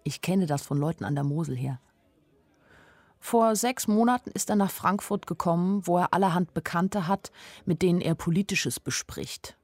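A woman's voice: fairly low pitch, normal speed, darkish tone, dry recording, lightly acted. An actress in a radio play voicing an observant young woman who tells her story in an intimate, matter-of-fact way. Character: observant, plain-spoken young woman narrating her life in 1930s Germany. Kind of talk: first-person radio play narration.

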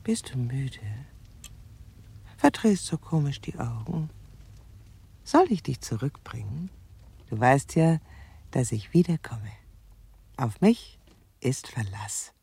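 A bitter, lovesick older woman in a radio play, gloating and tender by turns. Her voice is dark and creaky, with wide swings of pitch, and it sinks into intimate, breathy murmurs. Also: sing-song, slow, pauses often, heavily acted. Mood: quietly possessive. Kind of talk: radio drama monologue.

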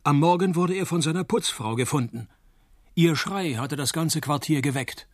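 An actor playing a cynical, wisecracking male private detective in a radio play, narrating and talking in a dry, laconic deadpan. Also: on the high side, moderate pace, dry recording, close to an everyday voice. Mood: dry, sardonic.

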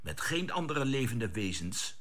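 An actor playing a decisive, composed spaceship captain in a radio drama, with a husky voice and an air of command. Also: male voice, medium pitch, big pitch swings, measured pace, fairly bright tone. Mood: measured, assured. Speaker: expedition leader.

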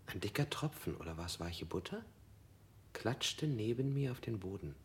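An actor playing a male prisoner who flatly recounts his interrogation, at times in a whisper. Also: on the low side, moderate pace, slight background noise. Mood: numb. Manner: flat, numb recounting, often whispered. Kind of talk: 1960s radio play acting.

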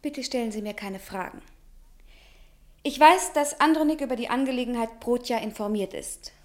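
A woman's voice: fairly high pitch, normal speed, natural voice. An actress playing a young woman visitor in a German radio play, earnest and guarded. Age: young adult.

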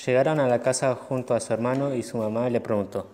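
A man's voice: mid-range voice, fairly even intonation, fast talker. A man reading scripted narration for an amateur radio play. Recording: noisy recording with clicks.

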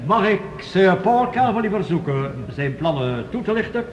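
An actor playing a formal, measured chairman addressing a scientific assembly in a radio play. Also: high voice, sing-song. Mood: formal, grave. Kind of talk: Dutch radio play acting, formal speech. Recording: reverberant hall, background noise.

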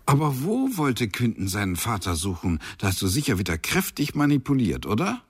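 A man's voice: mid-range voice, huge pitch swings, brisk, slightly rough. An actor playing a skeptical superior angel in a radio drama, wry and mock-indignant as he questions an underling. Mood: suspicious. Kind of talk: German radio drama acting.

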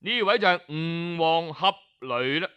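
A male Cantonese storyteller with a bright, smooth voice tells an old historical tale for an audiobook. He takes his time, speaking colloquially and expressively, as if straight to his listeners. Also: a little high, animated, unhurried, muffled recording. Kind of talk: traditional Cantonese oral storytelling of historical tales, audiobook narration.

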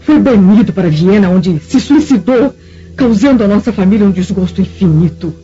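Venomous Roman matron, bright voice. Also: female voice, medium pitch, lively intonation, moderate pace, husky. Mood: bitterly indignant. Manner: theatrical, emphatic, indignant. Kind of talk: radio drama acting. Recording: dull radio drama recording with music.

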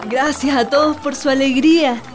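A young woman playing a gentle, wistful daughter of a country estate in a radio play. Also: sits high, fairly even intonation, moderate pace, music in the background, crowd noise, close to an everyday voice.

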